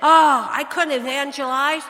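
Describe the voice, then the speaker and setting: A woman's voice: high voice, fairly even intonation, measured pace, pushed and bright. A woman pastor preaching a sermon in a church, earnest and asking her listeners questions. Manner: earnest, asks the congregation questions. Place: church sanctuary. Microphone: church microphone, switching mics. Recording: long church reverb.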